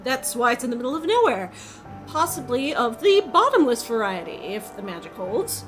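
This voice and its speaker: A woman acts a clever, self-assured survivor in a horror comedy. Her high voice is theatrical and lively in pitch, over faint music. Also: normal speed. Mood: matter-of-fact.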